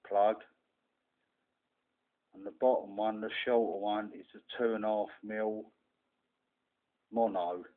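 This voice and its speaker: A man giving an informal show-and-tell demonstration, explaining haltingly piece by piece and often checking in with "right". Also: fairly low pitch. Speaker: hobbyist presenter. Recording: muffled, phone-like narrow-band camera audio.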